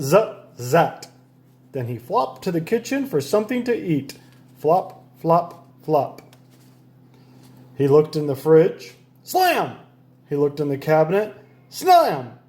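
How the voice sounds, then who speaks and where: A man narrates a children's picture book with playful energy. His voice sits on the high side and rises and falls widely, and he takes his time, savoring the sound words. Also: a little mellow. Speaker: storybook reader. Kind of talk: animated read-aloud narration for children.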